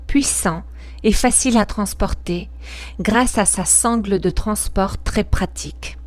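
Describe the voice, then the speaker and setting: A woman reading a scripted promotional product narration in a measured, articulate and informative way. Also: mid-range voice, darkish tone.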